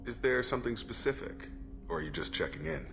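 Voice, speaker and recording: An actor playing a steady, proud ex-military crew officer in a video game, plain-spoken and matter-of-fact. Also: male voice, lowish voice, a little fast, faint music behind. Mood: frank, measured. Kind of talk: video game character acting.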